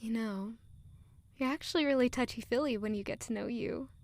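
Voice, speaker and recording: Woman acting a high-voiced, earnest young noblewoman. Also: brisk, dry recording, natural voice. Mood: playful, candid. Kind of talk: audio drama dialogue and first-person narration.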